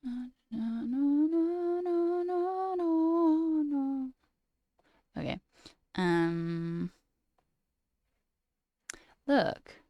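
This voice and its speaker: A woman's voice: high voice, animated, extremely slow, long pauses, dry recording, dull audio. A young woman with a slight sore throat, casually chatting on a live coding stream, reading chat aloud and muttering at her code. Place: small room indoors.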